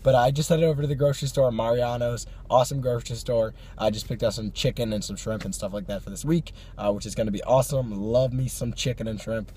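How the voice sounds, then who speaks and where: A hoarse young man with a cold, chatting casually to camera from his parked car at night, with many fillers and small laughs. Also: medium pitch, steady tone, quick pace, hardly any pauses, mellow, a little hiss or hum. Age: young adult. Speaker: vlog host. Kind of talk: casual car vlog chat. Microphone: phone or camera on the dashboard.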